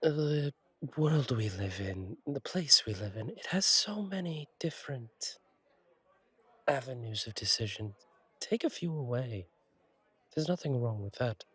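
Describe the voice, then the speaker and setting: A man playing a friendly, attentive fallen-angel bartender in an intimate roleplay audio, reassuring and chatty, with gentle wry humour and a husky voice. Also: medium pitch, sing-song, a little slow, no echo, full character voice. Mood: welcoming, reassuring. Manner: reassuring, chatty, wryly playful, slightly formal wording. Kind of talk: intimate one-sided roleplay audio acting.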